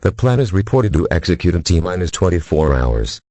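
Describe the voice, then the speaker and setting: Very deep male-voiced automated emergency alert announcer. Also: sing-song, fairly quick, warm tone, no echo. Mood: formal, grave. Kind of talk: scripted emergency alert broadcast.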